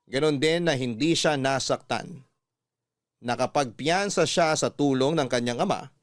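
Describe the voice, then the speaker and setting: A man's voice: mid-range voice, dry recording. Dry, close Filipino male storyteller. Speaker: radio drama host and narrator. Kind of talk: scripted first-person story narration.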